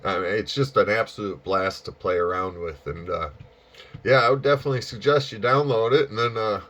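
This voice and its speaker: A man's voice: fairly low pitch, fairly quick, a bit bright, highs cut off. A man chatting casually and enthusiastically as an amateur radio operator, feeling his way through his phrases. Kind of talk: casual chat over ham radio.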